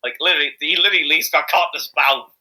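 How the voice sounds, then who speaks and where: A man speaking as an amateur voice actor being interviewed, chatting casually in a jokey, halting, rambling way. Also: fairly high pitch, bright, forceful tone.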